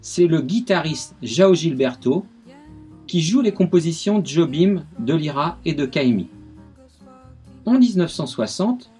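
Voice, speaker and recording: A husky man with a slightly high voice tells music history on the radio with lively enthusiasm. Soft music plays beneath his voice. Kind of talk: radio music segment, storytelling.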